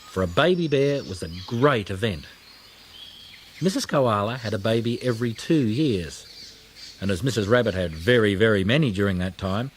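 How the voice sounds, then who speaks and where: A man reads a children's story in an expressive, animated voice with lively swings of pitch. Faint noise sits behind his narration. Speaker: audiobook narrator.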